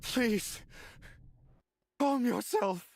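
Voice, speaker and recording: An actor playing a solemn, devout male guardian in a video game, speaking in a formal and measured way. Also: very high-pitched, gravelly, full character voice. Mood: urgent. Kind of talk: video game dialogue acting.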